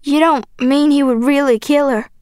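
A woman's voice: high voice, steady tone, moderate pace, darkish tone, no echo, studio quality, voice pushed up, lightly acted. A woman voicing a stubborn, wounded young Southern girl in an audiobook, with an emotional delivery. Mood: pleading. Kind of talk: audiobook character acting.

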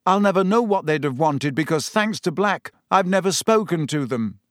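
A man's voice: a little high, animated, normal speed, dry recording, clean studio sound, lightly acted. Man voicing a brooding, stubborn teenage boy. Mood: bitter. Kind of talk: audiobook character voice.

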